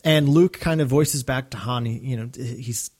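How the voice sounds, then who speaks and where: A man with a mid-pitched voice co-hosts a casual podcast. He talks in an earnest, reflective manner, working through his thoughts conversationally. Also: moderate pace, darkish tone. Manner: earnest, reflective, acts out quotes. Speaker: podcast co-host. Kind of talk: casual discussion of a radio drama.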